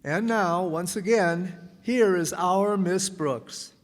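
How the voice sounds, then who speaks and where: Guy doing old timey radio pitchman, high voice, unhurried, echoey hall. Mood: presenting. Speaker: announcer. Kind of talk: old-time radio announcing and ad read. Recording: echoey hall stage recording.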